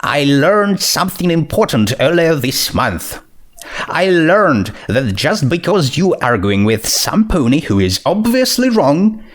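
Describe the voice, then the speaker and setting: A man's voice: a little high, voice pushed up, full character voice. A man voicing a solemn, earnest young female pony princess making a formal confession in a storybook reading.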